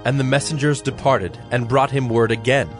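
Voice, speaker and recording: Measured male scripture narrator over music. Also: mid-range voice, fairly even intonation. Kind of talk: scripted audio Bible narration.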